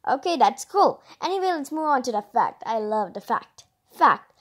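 A female host reading a children's picture book aloud, expressively, with chatty asides and drawn-out emphasis. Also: sits high, big pitch swings.

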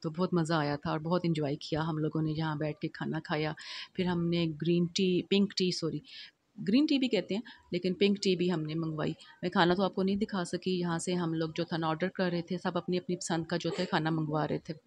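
A woman's voice: lowish voice, fairly even intonation, a little fast, a little mellow, slight background noise. A middle-aged woman from Pakistan narrating her shopping vlog as a voice-over, in a chatty, homely way with many fillers. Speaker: vlogger.

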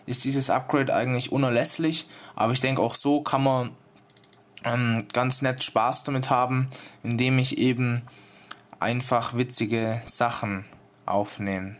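A man's voice: mid-range voice, steady tone, measured pace. A man giving a casual, informal demonstration of an app, explaining it step by step as its reviewer.

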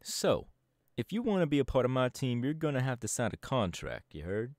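A man's voice: medium pitch, wildly swooping pitch, a little fast, a little mellow, no echo, clean studio sound. A man voice acting a laid-back young male DJ gang boss in an ASMR audio roleplay, talking in an easygoing, chatty drawl. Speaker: voice actor.

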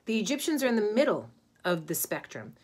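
A middle-aged woman, an Egyptologist, lecturing informally to a webcam from her home study, thoughtful and pausing with many ums and restarts. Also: medium pitch, big pitch swings, a little mellow. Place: home study with bookshelves, indoors.

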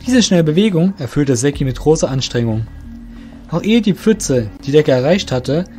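Male narrator, slightly high voice, scripted fantasy storytelling with a music bed. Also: mellow.

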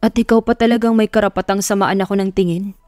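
A woman's voice: medium pitch, steady tone, quick pace, darkish tone, studio quality, lightly acted. Proud, irritable tycoon, male played by a female narrator, formal code-switching, roomy sound with music bed. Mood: exasperated. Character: haughty, exasperated male billionaire, formal English-Tagalog speech.

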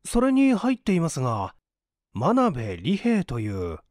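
A man's voice: a little high, huge pitch swings, measured pace, a little mellow, no room sound, clean studio sound, voice pushed up. A man voicing an earnest, courteous young man in a dramatic story reading. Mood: polite, explanatory. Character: earnest, polite young man, grateful and modest.

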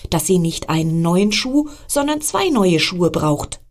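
An earnest, determined magic shoe from a storybook, played by a woman, close to the reading voice but quicker. Her tone moves a lot as she talks. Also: fairly low pitch, sing-song.

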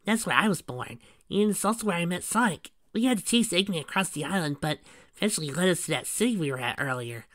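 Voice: medium pitch, lively intonation, fast, fairly bright tone, no echo, studio quality, voice pushed up, close to an everyday voice. A performer voicing an earnest, cheerful young boy fox sidekick, eagerly explaining in a light, youthful tone.

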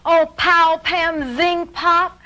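A woman's voice: very high voice, steady tone, very slow, bright, forceful tone, heavily acted. A woman voicing Carrie, an eager, upbeat young girl in a children's show. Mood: exasperated. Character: enthusiastic young girl named Carrie, curious.

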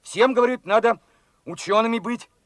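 An actor playing an eager, naive twenty-year-old working lad in a radio drama, earnest, plain-spoken and full of questions. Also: male voice, extremely high pitch, moderate pace, fairly bright tone, no echo. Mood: eager, hopeful. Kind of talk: Soviet radio drama acting.

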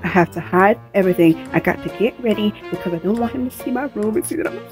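A woman's voice: medium pitch, fast, darkish tone, faint music behind, natural voice. Woman acting flustered, lovestruck teenage girl. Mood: flustered, urgent. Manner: anxious, pleading, dramatic.

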